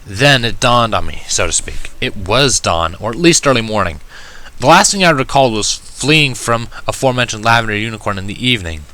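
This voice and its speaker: A man reads first-person fiction aloud. His voice sits a little low but has a bright, forward edge. The delivery is measured and wry, in the manner of an audiobook narrator. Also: expressive, low-quality recording. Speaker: fan fiction reader.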